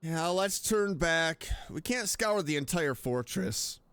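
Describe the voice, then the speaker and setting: A woman's voice: low voice, lively intonation, takes it slowly, clear, resonant tone, no room sound. A woman streaming a game with casual commentary, reacting with joking scepticism. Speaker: streamer playing a story game.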